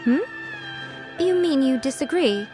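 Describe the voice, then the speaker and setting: A woman voicing a poised, teasing, superior shrine-maiden spirit in an anime game, with an unhurried, knowing manner. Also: sits high, lively intonation. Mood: nonchalant. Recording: music behind the voice.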